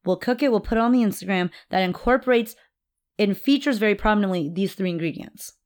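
A young woman co-hosting a podcast in casual chat, teasing and quick to laugh. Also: medium pitch, darkish tone. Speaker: podcast co-host.

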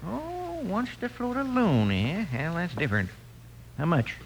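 Greedy suspicious cowboy-town loan shark, american, highish swoopy voice, curt, old hissy radio western. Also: male voice, wildly swooping pitch, unhurried, heavily acted. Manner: curt, wheedling, stingy. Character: grasping, suspicious little male loan shark of the Old West. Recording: noisy vintage radio recording.

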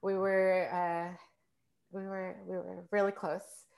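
Woman rambling thru stories on a podcast, animated, laughs at herself, lowish voice. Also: slow pace, vocal fry. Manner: animated, chatty, self-mocking. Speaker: podcast guest. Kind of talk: casual conversational storytelling.

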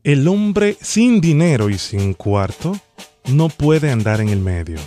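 A man puts on a bureaucratic immigration official. He is formal and officious, sternly polite as he runs a questionnaire on a prank call. His pitch is mid-range, and faint music plays behind him. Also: big pitch swings, takes it slowly, dark tone. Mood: solemn. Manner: formal, officious, repeats the name.

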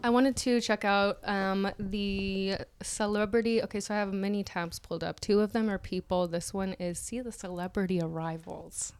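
A woman's voice: mid-range voice, fairly even intonation, normal speed, hardly any pauses, smooth. A young woman co-hosting a podcast, chatting casually about celebrity gossip and giggling often. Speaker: podcast co-host.

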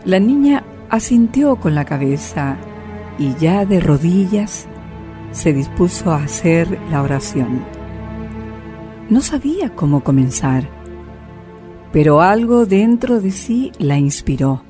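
A woman narrating a radio drama as storyteller, solemn and measured in her delivery. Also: fairly low pitch, big pitch swings, over music.

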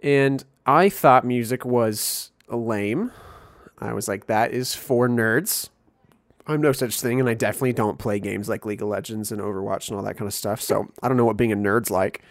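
A man's voice: on the low side, moderate pace, no room sound. Laid-back young man from Missouri. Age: 20s. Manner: laid-back storytelling with frequent chuckles. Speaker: podcast co-host. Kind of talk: casual chat.

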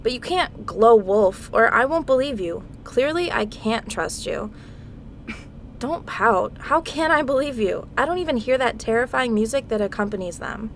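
Woman as a high-voiced, irritable adventurer heroine. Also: lively intonation, slight background noise. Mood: exasperated. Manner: exasperated, drawn-out sarcastic complaining. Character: irritable, foul-mouthed, skeptical adventurer heroine. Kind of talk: comedic audio drama acting, video game parody.

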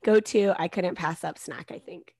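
A woman in her thirties with a soft-spoken voice, a guest author chatting casually in an interview. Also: fairly low pitch, moderate pace, slightly rough, no echo.

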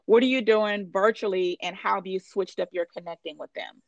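A woman host hypes up her guest with affirming, slangy enthusiasm. Her pitch is mid-range, and the recording sounds dry and dull, with a lo-fi edge. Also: a little fast, vocal fry, no room sound. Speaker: interview host. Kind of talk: enthusiastic interview hosting.

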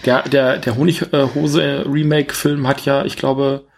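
Man chatting casually about films, halting. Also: medium pitch, level tone, vocal fry. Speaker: podcast co-host reviewing films. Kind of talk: casual film review chat.

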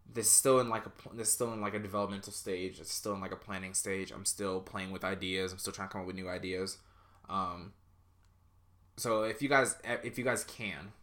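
Rambling podcast guy, low bright voice. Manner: rambling, self-deprecating, lots of ums and likes. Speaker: podcast host. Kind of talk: casual solo podcast monologue.

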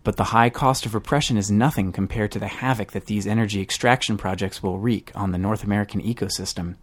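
A man's voice: fairly low pitch, fairly even intonation, quick pace, breathy edge. A man hosting a podcast, reading scripted news narration. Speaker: podcast host.

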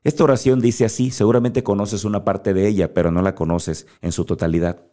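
A man's voice: fairly low pitch, steady tone, fairly quick, dark tone. A Mexican man hosting a podcast, giving an earnest motivational monologue straight to the listener. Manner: earnest, speaks directly to the listener. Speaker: podcast host.

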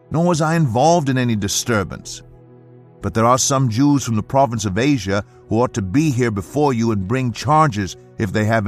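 A man narrating scripture as an audiobook, with a measured, solemn and emphatic dramatic delivery. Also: medium pitch. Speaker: Bible narrator.

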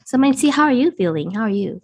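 A woman's voice: fairly high pitch, expressive, fast talker, husky. A woman co-hosting a casual podcast with a stuffy nose, joking and self-deprecating. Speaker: podcast co-host.

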